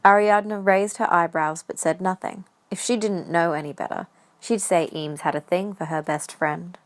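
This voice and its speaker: A woman's voice: lowish voice, fairly even intonation, moderate pace. A woman reading scripted story narration for an audio drama as the narrator.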